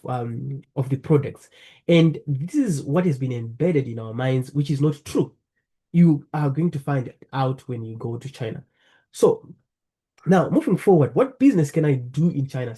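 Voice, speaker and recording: A man from Zimbabwe presenting a talk over slides, earnest, repeating phrases and pausing with fillers. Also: mid-range voice, mellow, husky. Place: lives in the UK.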